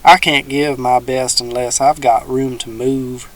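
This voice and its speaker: A man voicing a gruff, menacing old male swamp hermit with a folksy backwoods drawl. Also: mid-range voice, level tone, unhurried, natural voice, poor audio.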